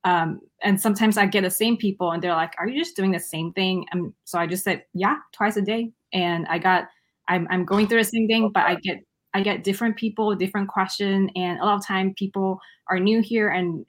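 A woman's voice: mid-range voice, fairly even intonation, brisk. A woman from Malaysia, a guest answering interview questions in a hesitant way with many restarts.

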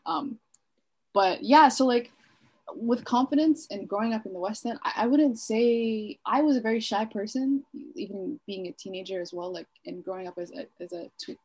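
A woman's voice: a little high, moderate pace, a little mellow, breathy edge. A woman in her twenties from Kenya, co-hosting a podcast in casual chat, talking freely with many fillers and frequent laughs. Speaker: podcast co-host.

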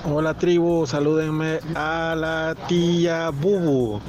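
A man's voice: a little high, steady tone, slow, lo-fi sound, music in the background. A man in his forties leaving a nostalgic voice message from outdoors on a street. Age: 40s. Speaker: viewer sending a voice message.

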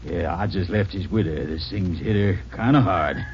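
A man's voice: low voice, moderate pace, lightly acted. A man acting a drawling, folksy Texas farmer. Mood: folksy, sympathetic. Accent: rural Texan. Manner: drawling folksy country speech. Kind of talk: old-time radio drama acting. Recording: muffled, noisy old radio recording.

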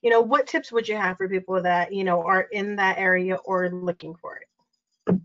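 A woman's voice: medium pitch, steady tone, moderate pace. American lady doing a casual interview, kinda rambling and informal, bit lo-fi sound. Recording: slightly dull, lo-fi call audio.

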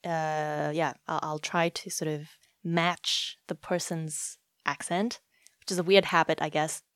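Smooth-voiced woman host, American accent. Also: low-pitched, flat delivery, unhurried, a bit bright, no room sound, studio quality. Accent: American with slight South African traces. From South Africa. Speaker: podcast host. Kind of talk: casual conversational interview.

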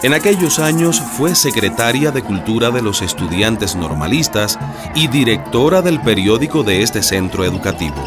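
A man's voice: mid-range voice, lively intonation, normal speed, natural voice. A man narrating a radio drama as a scripted storyteller. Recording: music bed behind the voice.

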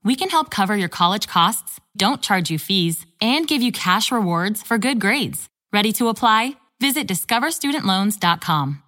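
A female narrator reading a scripted ad in a bright, selling tone. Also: medium pitch, very animated, normal speed, hardly any pauses, big-room sound. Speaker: commercial narrator. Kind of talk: scripted ad read.

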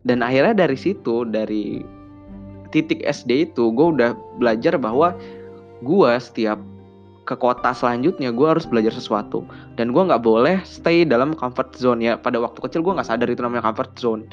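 A man's voice: mid-range voice, moderate pace, dull audio, faint music behind. A young man from Bandung hosting a podcast, telling personal stories in casual slangy chat and acting out childhood dialogue in a raised voice. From Bandung, West Java, Indonesia. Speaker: podcast host.

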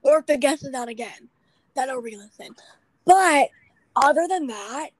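A young woman in her late teens hosting a podcast, with a raspy voice, chatting in a rambling, self-deprecating way full of fillers. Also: high voice, animated, normal speed, no room sound, low-quality recording. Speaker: podcast host. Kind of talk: casual chat.

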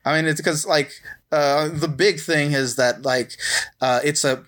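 A man hosting a casual podcast, talking in a rambling, halting way with many restarted sentences. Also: on the high side, fairly even intonation, fairly bright tone. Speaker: podcast host.

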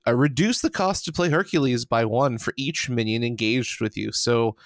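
A man co-hosting a casual card-game podcast, animated and quick to laugh, working through numbers out loud. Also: medium pitch, no room sound, clean studio sound. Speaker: podcast co-host.

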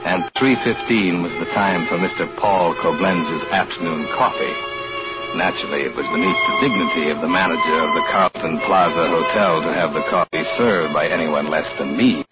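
Deep-voiced, bitter hotel man in old radio. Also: very animated. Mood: dry, resentful. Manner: sardonic, measured, deliberate. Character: bitter, resentful male hotel assistant manager confessing his story. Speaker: narrator and lead actor. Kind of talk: 1940s radio drama narration. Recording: dull old radio recording, loud music.

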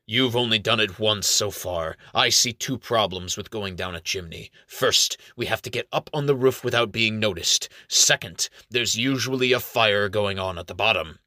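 A man's voice: fairly low pitch, normal speed, hardly any pauses, sharp tone, dry recording. A man voicing a practical, skeptical male companion in a level, dry tone.

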